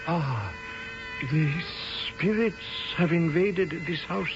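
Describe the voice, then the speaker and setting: Solemn servant, high pitch, very slow halting delivery, obsequious, radio play. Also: male voice, animated. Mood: solemn, deferential. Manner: halting, obsequious, flowery address. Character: deferential Indian manservant, superstitious and solemn. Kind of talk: radio drama acting. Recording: dull old radio recording, faint music.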